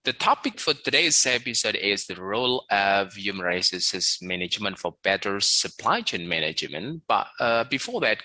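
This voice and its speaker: A man's voice: fairly low pitch, pushed and bright, no echo. A man hosting a podcast interview, polite and upbeat as he introduces the guest and asks questions. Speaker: podcast host.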